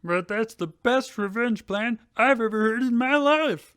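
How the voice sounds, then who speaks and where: A man's voice: very high voice, expressive, a little slow, fairly bright tone, exaggerated voice. A man voicing a polite, earnest young accomplice in a comedic fan fiction reading. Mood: eager approval. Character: courteous, eager young male accomplice.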